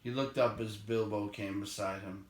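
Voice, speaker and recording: A man reading a novel aloud as expressive, measured storytelling narration. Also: lowish voice, a little hiss or hum. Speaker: amateur storybook reader. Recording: roomy, echoey home recording.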